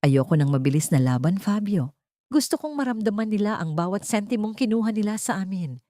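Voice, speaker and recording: A woman storyteller plays a wronged woman set on revenge in an audio drama. She is wounded but coldly determined. Her words come out bitter and deliberate in a dark, soft tone. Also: mid-range voice, lively intonation, no room sound, studio quality, natural voice. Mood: bitter, resolute.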